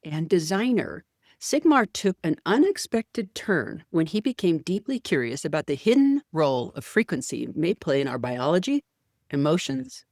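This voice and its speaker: A woman hosting a podcast, reading a scripted introduction and then interviewing her guest with a soft, deliberate delivery. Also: low voice, no echo, clean studio sound. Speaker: podcast host.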